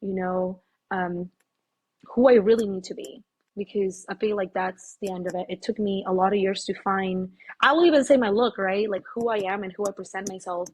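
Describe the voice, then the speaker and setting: A woman's voice: medium pitch, normal speed, darkish tone, husky. A woman from Mexico, a guest on a podcast, talking casually and emphatically about her life, with frequent fillers. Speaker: podcast guest, fitness apparel founder. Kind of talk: casual interview talk.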